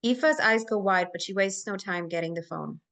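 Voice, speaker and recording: A woman serves as narrator at a live screenplay table read, reading the stage directions aloud. Her voice sits at a middle pitch. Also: moderate pace, a bit bright.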